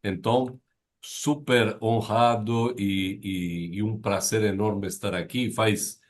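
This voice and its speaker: A man in his fifties who speaks with an Argentine Spanish accent, his voice sitting very low. He introduces himself on a live video panel, upbeat and polite, with small slips in his second language. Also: steady tone, slow pace. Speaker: board advisor and business mentor. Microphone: video call.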